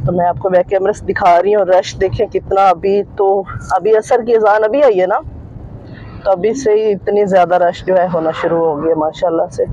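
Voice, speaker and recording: A woman's voice: medium pitch, mellow, dull audio. A middle-aged woman chatting to her viewers from the seat of a car. She narrates loosely, keeps returning to the same filler phrases, and talks into a phone held at arm's length. Faint traffic hum sits underneath her voice. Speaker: family vlogger. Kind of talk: casual vlog narration. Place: inside a car in a busy market street. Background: faint traffic and vehicle noise.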